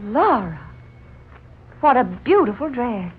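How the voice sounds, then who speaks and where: An actress playing an excitable, caring young lady friend with a gushing, expressive delivery in a 1940s radio drama. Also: on the high side, takes it slowly, slightly rough, heavily acted. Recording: muffled, noisy 1940s radio recording.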